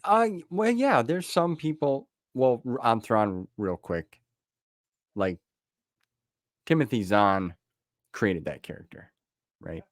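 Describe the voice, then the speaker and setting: A man's voice: mid-range voice, expressive, takes it slowly, long pauses, dry recording, clean studio sound. A man hosting a podcast and chatting casually with his guest. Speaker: podcast host. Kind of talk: casual chat.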